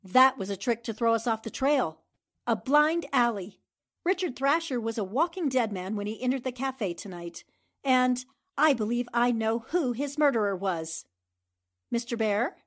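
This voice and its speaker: A probing newspaper reporter in a forties radio play. Her voice is fairly high, and she delivers questions and deductions with incisive confidence. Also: animated, moderate pace. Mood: assured. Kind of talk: 1940s radio drama acting.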